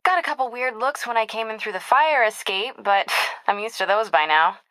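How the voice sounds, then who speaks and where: An actress with an American accent playing a caring, determined young reporter in a video game, speaking in an earnest, conversational, confiding way. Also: female voice, a little high, brisk, fairly bright tone, no room sound, close to an everyday voice, low-quality recording. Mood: supportive. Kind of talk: naturalistic video game acting.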